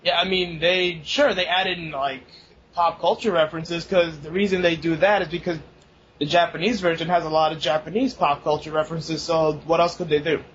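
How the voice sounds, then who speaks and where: A man's voice: fairly high pitch, steady tone, fairly bright tone. Young man chatting in a lo-fi recording. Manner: laughs often, swears, fast-rambling. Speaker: podcast co-host. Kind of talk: casual chat. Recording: dull lo-fi recording, faint noise.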